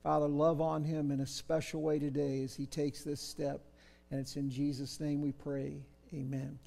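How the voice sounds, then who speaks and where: A man's voice: on the high side, completely flat delivery, normal speed, gravelly. A middle-aged man, a pastor, praying earnestly and officiating baptisms in a church. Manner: earnest, gentle prayerful delivery. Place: church baptistry, large sanctuary. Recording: reverberant church sanctuary.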